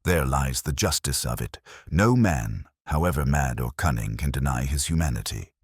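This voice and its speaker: A man voicing a grave, incisive detective in a mystery audiobook, speaking in measured, solemn, oratorical phrases. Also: very deep voice, animated, slightly rough, dry recording, clean studio sound, exaggerated voice. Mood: reflective, solemn. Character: grave, incisive male detective explaining a case.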